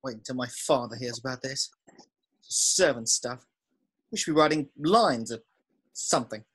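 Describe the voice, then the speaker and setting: Woman doing a snotty rich schoolboy, low husky voice, sneery and cowardly, script read. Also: moderate pace. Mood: whining. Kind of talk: amateur screenplay table read.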